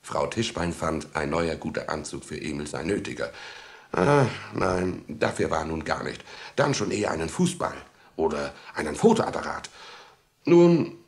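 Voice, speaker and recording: A man narrating a children's audio drama as an expressive storyteller speaking to young listeners. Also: extremely low pitch, moderate pace, vocal fry.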